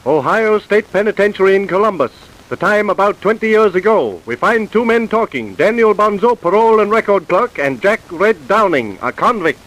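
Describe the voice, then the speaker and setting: Solemn vintage radio crime narrator, scripted delivery, distinctly high register. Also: male voice, lively intonation, normal speed, a bit bright, rough recording.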